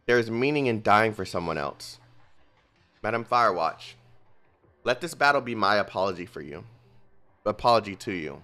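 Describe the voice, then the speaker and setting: A man's voice: lowish voice, animated, moderate pace, long pauses, bright, forceful tone. A man reading an earnest young knight.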